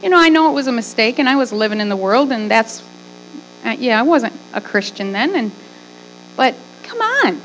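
A woman's voice: on the high side, lively intonation, a little fast, faint music behind, poor audio. A middle-aged woman preaching conversationally as a pastor, with emphatic, folksy delivery, rhetorical questions and repeated phrases. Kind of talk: conversational sermon with acted-out asides.

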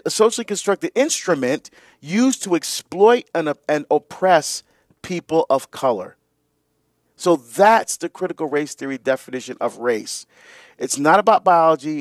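Relaxed talk-radio host, higher voice. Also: male voice, no echo, studio quality. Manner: relaxed explaining with fillers and laughs.

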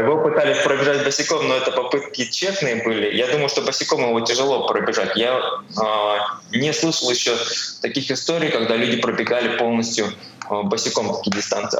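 A man in his forties talks casually as an interview guest, feeling his way through broken phrases. His pitch is average, and the recording is strongly reverberant with faint noise in the background. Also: fairly bright tone. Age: 40s. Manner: halting, thinks aloud in broken phrases. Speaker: podcast guest, amateur barefoot ultrarunner. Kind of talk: casual interview answers, personal storytelling.

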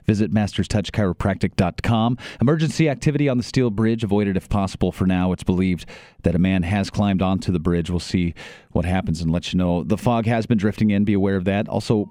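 A man's voice: lowish voice, mellow. A man with an American accent reading a scripted radio newscast as anchor and reporter.

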